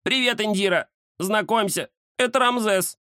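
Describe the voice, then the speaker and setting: A man voicing a chatty, mischievous young cat with an excitable, teasing manner in a children's story. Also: high-pitched, normal speed, no room sound, clean studio sound, voice pushed up. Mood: excited, cheeky. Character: cheeky chatty round-faced young male cat, mischievous.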